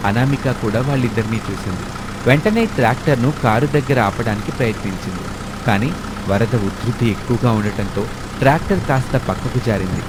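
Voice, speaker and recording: A man reads a scripted story as its narrator. His pitch is middling for a male voice. Clear rain and engine noise plays behind the narration. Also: animated, brisk.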